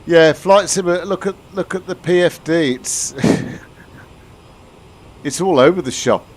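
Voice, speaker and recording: A man's voice: fairly high pitch, unhurried. A man from the UK live-streaming a flight simulator, chatting casually with his viewers and explaining the cockpit as he flies. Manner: chatty, answers viewers, frequent restarts. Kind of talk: live-stream commentary to chat.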